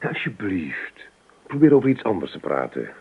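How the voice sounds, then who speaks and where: An actor in a Dutch radio play, playing an irritable, practical husband who halts and repeats himself in exasperation. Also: male voice, low-pitched, very animated, creaky, slight background noise, full character voice. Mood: irritated. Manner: exasperated, halting, repeats words. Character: irritable, practical husband weary of his wife. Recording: muffled, tinny band-limited radio recording.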